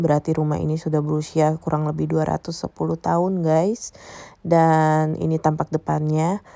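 Low-pitched, creaky-voiced woman vlogger. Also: mellow, no echo. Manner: explanatory, addressing viewers directly. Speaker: travel vlogger. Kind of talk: casual vlog tour narration.

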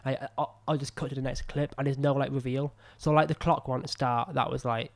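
Young guy reviewing his own footage, croaky and under the weather, thinking aloud with lots of ums, close-miked at a desk. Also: mid-range voice, steady tone, a little fast. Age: young adult. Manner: rambling, self-critical, many fillers. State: ill, croaky voice. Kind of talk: casual commentary over footage. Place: small room indoors at a desk. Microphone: studio mic on a boom arm close to mouth.

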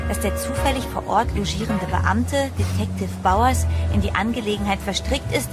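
A woman's voice: mid-range voice, natural voice. Music plays under an actress voicing a young woman in a horror radio play. She tells her strange tale in a mid-range voice, stunned yet curious. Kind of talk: German audio drama acting and narration.

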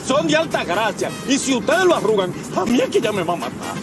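High, swooping scolding Dominican driver. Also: male voice, big pitch swings, a little fast. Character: fussy, harried driver scolding his passengers. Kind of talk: comedy film acting. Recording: loud music, vehicle sounds.

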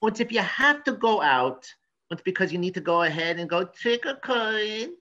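A man's voice: high-pitched, expressive, normal speed, bright, forceful tone, lo-fi sound. A man playing a cranky, pompous professor in a comedy news sketch, rambling and stammering. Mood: rambling advice. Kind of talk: improvised mock news interview comedy.

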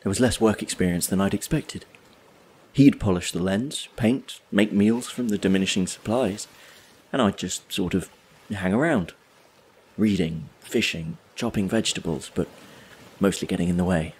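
Low, husky wistful loner. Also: male voice, moderate pace, darkish tone. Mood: reflective. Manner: reflective, wry. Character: wistful, solitary male drifter telling his story. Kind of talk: dramatic monologue in an audio play.

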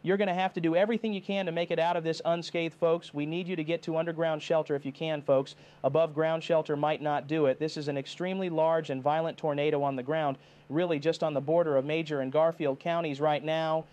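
A man's voice: fairly high pitch, a little mellow, clear, resonant tone, lightly acted. A man playing a TV meteorologist in an analog horror drama, giving a live severe weather warning in a grave, insistent manner, addressing viewers directly. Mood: urgent, grave. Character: urgent male TV meteorologist warning of deadly tornado. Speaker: TV weather forecaster.

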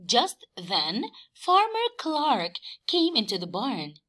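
A woman teacher reading aloud for young children, expressive and emphatic, often questioning. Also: high-pitched, slow. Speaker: teacher and story reader.

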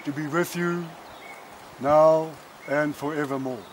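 An older man officiating an outdoor wedding and praying over the couple in a solemn, measured way. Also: on the high side, steady tone. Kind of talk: wedding ceremony and prayer. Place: outdoor wedding under trees. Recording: faint outdoor noise.

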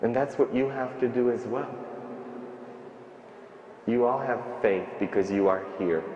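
Priest guy preaching slowly, dull distant sound in a big echoey church. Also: mid-range voice, a little hiss or hum. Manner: slow, emphatic preaching with rising and falling emphasis. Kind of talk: sermon. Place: large stone church. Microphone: stand mic at ambo.

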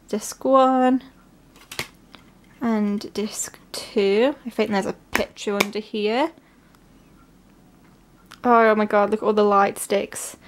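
A woman from England with a stuffy, congested voice from a cold, chatting excitedly through an unboxing with lots of fillers. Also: mid-range voice, slight background noise. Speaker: vlogger. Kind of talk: casual unboxing narration. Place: at home, at a table.

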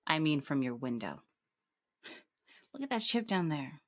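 A woman's voice: deep voice, sing-song, measured pace, fairly bright tone, exaggerated voice. An actress playing a tough, guarded female police detective with a terse, wry manner in a radio drama. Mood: casual, wry. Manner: terse, hard-boiled, wry.

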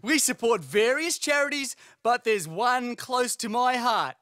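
A chirpy cartoon stove hosts his show with an Australian accent. He is gushing and folksy, with a bright, forceful tone. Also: extremely high pitch, unhurried, no echo, studio quality. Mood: showy, upbeat. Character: chirpy talking kitchen stove, male talk show host. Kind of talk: cartoon talk show patter.

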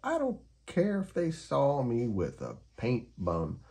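A bashful male unicorn from a storybook, voiced by a man, mellow and tender. He speaks earnestly, a little unsure of himself. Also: medium pitch, huge pitch swings, slow pace, pitched above the performer's own voice, heavily acted. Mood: embarrassed.

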